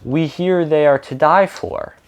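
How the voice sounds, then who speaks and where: Eager little storybook Chihuahuas, voiced by a man, pitched above his natural voice. They speak emphatically in slow, halting bursts. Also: slight background noise, lightly acted. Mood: insistent, eager.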